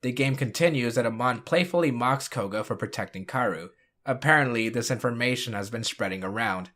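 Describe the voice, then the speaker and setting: A man narrates as the host of a retrospective video. His voice has an average pitch for a male. The delivery is scripted, moving between recap and review. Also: a little fast, a bit bright.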